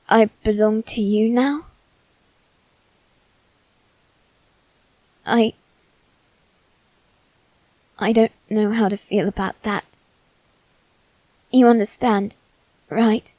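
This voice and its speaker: Woman doing a posh-born teen boy, clingy and wounded, asmr roleplay, flat tone, close dry sound, long pauses. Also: mid-range voice, no echo. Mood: confused, hesitant. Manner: slow, halting, measured delivery with long pauses. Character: wounded, possessive teenage boy aristocrat bound by demon contract. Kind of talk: ASMR character roleplay, scripted drama.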